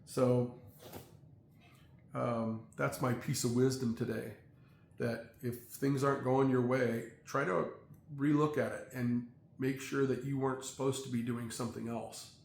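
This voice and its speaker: A middle-aged man talking in a casual, rambling monologue, halting with many fillers and restarts. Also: mid-range voice, level tone, creaky, a little mellow, slight background noise. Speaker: vlogger. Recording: echoey room, faint ambience.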